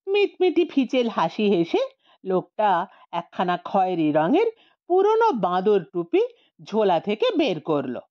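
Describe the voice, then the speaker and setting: A woman's voice: medium pitch, highs cut off. A woman narrating a comic audio story in a playful, theatrical manner.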